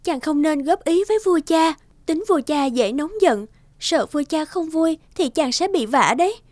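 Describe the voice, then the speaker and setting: An actress playing a gentle, faithful young princess and wife in a cartoon folk tale, speaking tenderly and pleadingly. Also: female voice, high voice, lively intonation, normal speed, dry recording, clean studio sound. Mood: anxious. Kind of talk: animated folk-tale dialogue.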